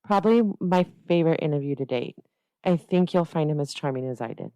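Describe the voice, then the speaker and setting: A woman hosts a podcast, reading her narration from a script. Her voice sits a little low and sounds mellow. It is recorded dry and close, with music playing faintly underneath. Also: expressive, a little fast, smooth, no echo. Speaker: podcast host.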